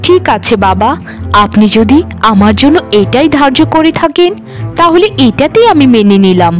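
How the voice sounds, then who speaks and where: Woman acting a high, expressive young daughter. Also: natural voice. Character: earnest young daughter of a wealthy landlord. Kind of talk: dramatic cartoon voice acting.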